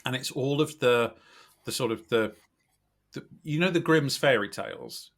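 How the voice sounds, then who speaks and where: A British man with a slightly scratchy, croaky voice, chatting casually as a podcast guest in a wry, self-deprecating, animated way. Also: medium pitch, normal speed. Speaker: podcast guest, recruitment company director. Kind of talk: casual chat.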